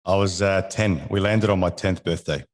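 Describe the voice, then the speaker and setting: A man's voice: sits low, normal speed. A man in his forties from Egypt whose first language is French, a podcast guest talking casually, often restarting and ending sentences with "right?". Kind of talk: casual interview conversation.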